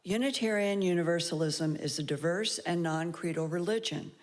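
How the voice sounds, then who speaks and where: A woman with a somewhat low voice leads a congregation through its affirmation and weekly announcements. She reads in a measured, formal way into a lectern mic in a sanctuary. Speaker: worship service leader. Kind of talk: congregational welcome and liturgy.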